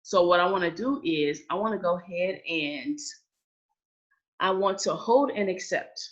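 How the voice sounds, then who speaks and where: A woman's voice: fairly low pitch, measured pace, highs cut off. A woman giving an online training session in a casual, chatty way, often checking in with "okay?". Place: indoors, on a video call. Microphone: video call.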